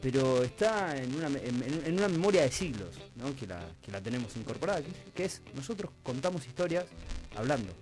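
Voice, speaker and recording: A man's voice: mid-range voice, animated, brisk, a little mellow, soft background music, low-quality recording. A man with an Argentine Rioplatense accent hosting a conversational radio interview, reflective, building his points in short repeated phrases. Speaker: radio host. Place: radio studio.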